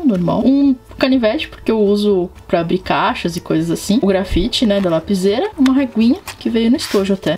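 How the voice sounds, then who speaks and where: A woman's voice: medium pitch, darkish tone. A young woman with a Brazilian Portuguese accent, hosting a casual vlog and chatting expressively with frequent asides to her viewers. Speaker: channel host.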